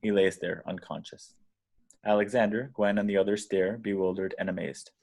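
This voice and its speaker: Deep voiced guy reading script action lines, dramatic narration, deliberate. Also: steady tone, vocal fry, darkish tone. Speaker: stage directions reader.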